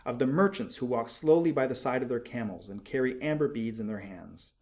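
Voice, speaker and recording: Measured male storybook narrator. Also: medium pitch, a little mellow. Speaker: audiobook narrator. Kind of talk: expressive literary storybook narration.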